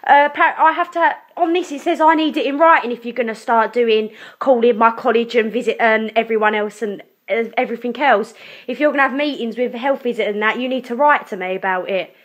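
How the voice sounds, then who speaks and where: A young woman speaks on a phone call as a client. Her voice sits a little high, and her manner is direct and challenging. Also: a little fast. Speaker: client of social services, a mother. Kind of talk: phone conversation.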